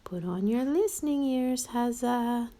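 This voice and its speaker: A woman storyteller reading a storybook aloud to young children, expressive and playful. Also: a little high, unhurried. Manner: expressive, addresses listeners playfully.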